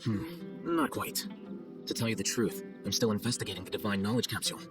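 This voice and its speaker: A man's voice: on the low side, fairly even intonation, brisk, far from the mic, music in the background, lightly acted. A man playing an aloof, self-assured scholar in a video game, dry, detached and condescending. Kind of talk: video game cutscene voice acting.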